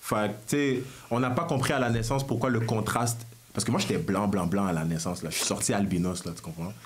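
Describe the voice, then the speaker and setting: A young man from Congo with a Quebec French accent, telling personal stories in a casual podcast chat and jumping into high voices when he quotes people. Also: quick pace. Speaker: podcast co-host and stand-up comedian.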